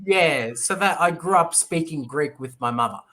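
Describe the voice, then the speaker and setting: A man's voice: a little high, expressive, normal speed, sharp tone. A man tutoring English online, explaining patiently with personal stories in a casual conversation lesson. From British. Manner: easygoing, explains with personal stories and acted quotes.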